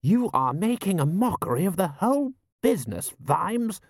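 Pompous army lord, played by a male narrator, high-pitched, swooping, imperious, outraged, audiobook character. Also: very animated, takes it slowly, darkish tone, dry recording, studio quality.

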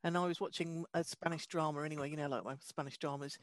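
Chatty woman comedian, quick laughs. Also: low-pitched, steady tone, no room sound, studio quality. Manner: chatty, laughs easily, stumbling restarts. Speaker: comedian and co-host. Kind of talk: casual chat and guest introductions.

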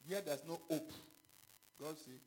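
A man preaching a sermon, halting and repetitive, with questions to the congregation. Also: on the high side, a little mellow, slight background noise, rough recording. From Ghana. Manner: halting, repeats himself, calls out questions to the congregation. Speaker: pastor.

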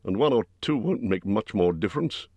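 Man doing a rough booming bear-man host, higher and louder than his own voice, dry close sound. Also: expressive, moderate pace, dry recording. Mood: gruff. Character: gruff huge bear-man host, blunt and impolite.

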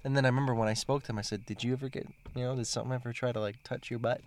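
A man's voice: medium pitch, steady tone, racing. A man hosting a casual chat, telling stories in a joking, playful way. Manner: joking, playful storyteller who laughs at his own jokes.

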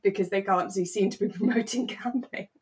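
A woman co-hosting a podcast, talking in a relaxed, chatty and reflective way. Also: mid-range voice, brisk. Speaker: podcast co-host. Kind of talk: relaxed casual discussion of a radio drama.

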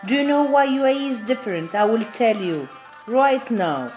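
A woman's voice: fairly high pitch, expressive, moderate pace, darkish tone. A woman hosting a show, enthusiastically addressing her viewers. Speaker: show host. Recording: echoey room with faint music.

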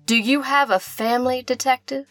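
A woman's voice: high voice, fairly even intonation, moderate pace, fairly bright tone, no room sound, faint music behind. A woman playing a wealthy, flirtatious femme fatale artist with a sinister edge and a seductive manner in a noir radio play. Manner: seductive, teasing.

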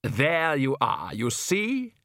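A man's voice: mid-range voice, huge pitch swings, slow, fairly bright tone, no room sound, studio quality, exaggerated voice. Man playing a suave, drawling vampire count. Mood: cheerful, self-satisfied. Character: suave, cheerful, patronising aristocratic vampire father.

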